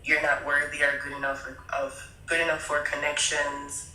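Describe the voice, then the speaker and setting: A woman's voice: deep voice, little pitch movement, normal speed, pushed and bright, echoey room, soft background music, low-quality recording. A woman calling into a stream, stuffy from a cold, hesitant and searching for words. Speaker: caller on a live stream. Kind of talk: casual conversation.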